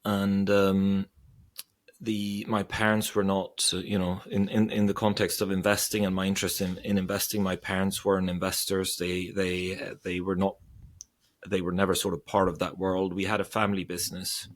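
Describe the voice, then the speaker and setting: A man's voice: low voice, completely flat delivery, moderate pace. A man in his forties from Sweden, a guest investor answering questions thoughtfully, with frequent hesitations and restarts. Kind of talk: interview.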